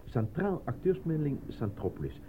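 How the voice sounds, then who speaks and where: A man's voice: fairly high pitch, very animated, moderate pace. An actor playing a nervous, apologetic middle-aged male actor looking for work in a radio play. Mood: nervous, obliging. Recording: muffled, phone-like, noisy old radio recording.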